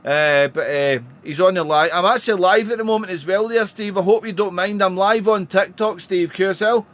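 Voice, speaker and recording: A man with a somewhat high-pitched voice chats casually as a radio hobbyist hosting a contact. He is talkative and repeats himself, often ending phrases with "there". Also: moderate pace, a bit bright. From Wales. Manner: chatty, repetitive, ends phrases with "there". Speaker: amateur radio operator, livestream host.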